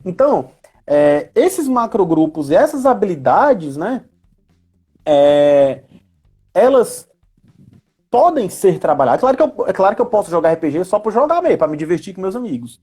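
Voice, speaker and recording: A Brazilian woman talks through ideas in an informal live conversation. Her voice is husky and mellow, sitting a little low for a woman. She teaches as she chats, often seeking agreement with short tag questions. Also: sing-song, moderate pace. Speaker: guest explaining role-playing games in education and work. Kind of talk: casual explanatory live chat.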